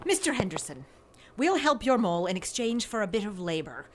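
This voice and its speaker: A woman's voice: medium pitch, sing-song, a little fast, heavy background noise, lightly acted. A woman playing a clinical, commanding scientist in an audio drama, speaking formally and curtly. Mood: businesslike. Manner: formal, curt. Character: cool, clinical female scientist, brisk and commanding.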